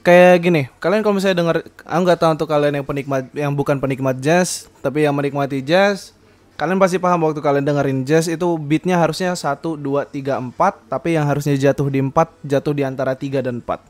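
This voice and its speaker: A man's voice: on the high side, fast talker. Rambling young man, casual podcast chat. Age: young adult. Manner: rambling, many fillers and self-corrections, laughs often. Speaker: podcast host.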